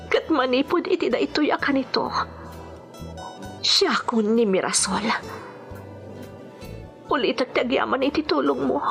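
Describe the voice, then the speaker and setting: A woman's voice: on the high side, close to an everyday voice. A woman playing a grateful, devoted, vulnerable heroine in an earnest, submissive manner for a melodramatic radio drama. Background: background music.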